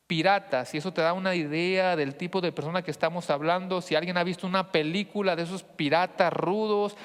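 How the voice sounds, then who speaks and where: A man's voice: high voice, a bit bright, clean tone. A man with a northern Mexican accent preaching as a pastor, teaching conversationally and often checking in with questions. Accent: northern Mexican Spanish. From Monterrey, northern Mexico. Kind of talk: teaching sermon to a congregation. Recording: long hall reverb.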